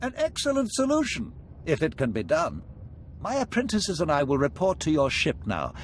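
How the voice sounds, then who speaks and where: An urbane, scheming Sith lord in a video game. The voice is fairly high and swoops theatrically as he savors each word, over faint background noise. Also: huge pitch swings, moderate pace. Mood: sly, conspiratorial.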